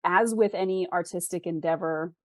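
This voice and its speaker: Woman with a lowish voice, closely recorded. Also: moderate pace, no echo, clean studio sound. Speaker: interview guest, author and freelance writer. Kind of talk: conversational interview, giving advice.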